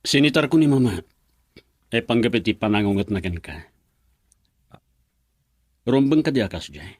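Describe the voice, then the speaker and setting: Guy doing a brooding young ilocano guy, husky voice, dry sound. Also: fairly low pitch, lively intonation, moderate pace, a little mellow, dry recording. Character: young man, troubled friend at odds with his mother. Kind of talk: radio drama acting.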